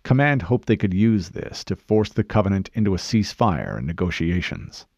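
A terse military commander acted by a male narrator, stoic and resolute, in a science fiction audiobook. His voice sits low and is delivered in a measured way, recorded close and dry. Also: sing-song, a little mellow, no echo, dull audio, full character voice. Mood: steady, determined. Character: stoic male armored super-soldier chief, terse, resolute. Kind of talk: audiobook character voice.

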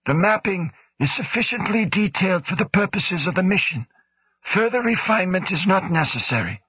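Man doing a stern male station director, raised pitch, formal, clipped, husky, dry sound. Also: animated, normal speed, bright, forceful tone, heavily acted.

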